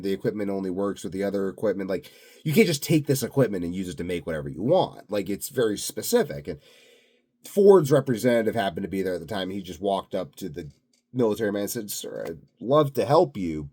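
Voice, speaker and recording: A man's voice: lowish voice, darkish tone. A self-assured, blunt man rambling unscripted to his viewers in a grandiose solo monologue. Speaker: solo video host.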